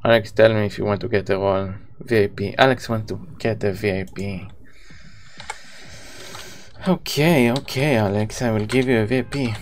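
A man's voice: on the low side, moderate pace, a little hiss or hum. A man in his thirties with an Israeli accent, a native Hebrew speaker, chatting casually with viewers as he live-streams a video game.

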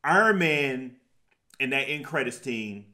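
A middle-aged American man co-hosting a casual film review, halting and repeating words, with lots of "you know". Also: medium pitch, lively intonation, sharp tone. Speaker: podcast co-host reviewing films. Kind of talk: casual movie review chat. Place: indoor room with projector screen. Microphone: tabletop condenser mic between the two hosts.

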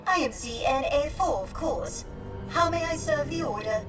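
A woman's voice: high-pitched, expressive, normal speed, distant mic, over music. An actress voicing a polite, dutiful, naive female droid servant in a video game cutscene, formal and courteous.

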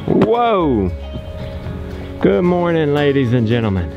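A man's voice: on the high side, big pitch swings, takes it slowly, gravelly, highs cut off, over music. A man in his thirties talking casually and encouragingly, a folksy father vlogging through farm chores. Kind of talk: casual vlog talk while doing farm chores.

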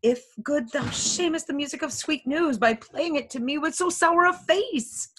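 A woman's voice: medium pitch, measured pace, fairly bright tone, slight background noise, close to an everyday voice. A woman reading an impatient, lovesick young heroine in a Shakespeare play, with dramatic, insistent pleading. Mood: eager, anxious.

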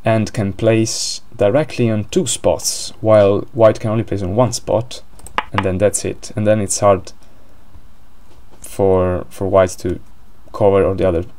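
A man's voice: fairly low pitch, level tone, unhurried, a little mellow, a little hiss or hum. A man with an Italian accent commentating a board game live, hesitant, with many fillers and restarts.

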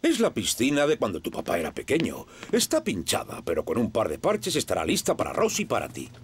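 A man's voice: medium pitch, wildly swooping pitch, a little fast, slight background noise. A man playing a kindly, encouraging old grandfather in a Castilian Spanish children's cartoon, hearty and patient. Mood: cheerful.

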